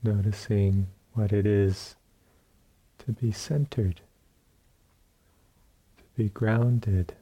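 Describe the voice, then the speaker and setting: A man leading a guided meditation as a teacher, speaking calmly in short phrases with long pauses and a soft, husky voice. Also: fairly low pitch. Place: meditation retreat room.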